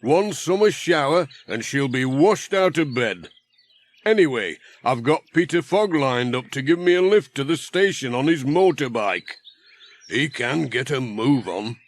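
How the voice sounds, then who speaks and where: Loud, chatty British village handyman, played by a man. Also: medium pitch, expressive, a little slow, lightly acted. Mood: chatty, busy. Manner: emphatic, chatty.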